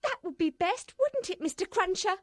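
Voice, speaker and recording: A woman's voice: extremely high pitch, animated, moderate pace, dry recording, clean studio sound, pitched above the performer's own voice. A woman voicing an anxious, tearful English spinster who frets and pleads. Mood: tearful worry. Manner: fretful, pleading.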